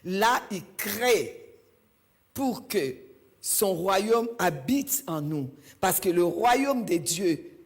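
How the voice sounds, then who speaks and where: A woman's voice: mid-range voice, lively intonation, extremely slow. A woman from Belgium, preaching a sermon in a church and stressing her points by repeating key phrases.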